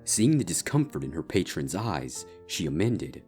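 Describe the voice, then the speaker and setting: A man's voice: sits low, big pitch swings, normal speed. A man reading a scripted story as its narrator. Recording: music bed behind the voice. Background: soft instrumental guitar music.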